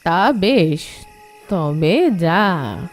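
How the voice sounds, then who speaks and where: A woman voicing a doting old village grandmother in a cartoon, speaking gently and sadly. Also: on the low side, very animated, faint music behind, full character voice. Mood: downcast. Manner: slow, gentle, downcast. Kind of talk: Bengali cartoon voice acting.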